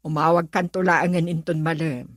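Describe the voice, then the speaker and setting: A woman's voice: sits low, level tone, moderate pace, no echo, studio quality. A Filipino woman playing an anxious, coaxing mother of a grown son in a radio drama. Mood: polite, placating.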